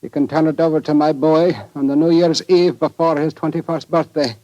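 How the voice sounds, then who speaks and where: An actor playing a proud, stubborn, dying Scottish baronet in broad dialect, in 1940s radio drama style. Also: male voice, on the high side, level tone, brisk, dark tone, lo-fi sound, close to an everyday voice. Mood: grave.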